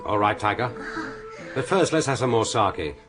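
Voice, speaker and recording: Suave secret agent male actor, dry and urbane; low, animated voice over clear music, for radio drama. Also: moderate pace, natural voice. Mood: teasing, merry. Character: suave male British spy, dry and teasing.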